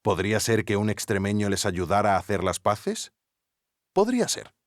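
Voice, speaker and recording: An actor playing an earnest investigative podcast narrator with a Spanish accent from Spain, theatrical, suspenseful and tongue-in-cheek. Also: male voice, lowish voice, very animated, moderate pace, no echo, studio quality. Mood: intrigued. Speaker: host. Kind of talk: scripted documentary narration.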